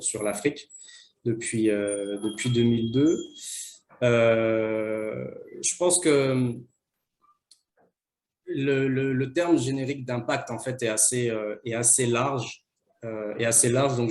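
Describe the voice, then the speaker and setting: A man's voice: mid-range voice, darkish tone. A man who works for an impact investment fund, speaking on a webinar panel in a hesitant way, with many fillers and restarts.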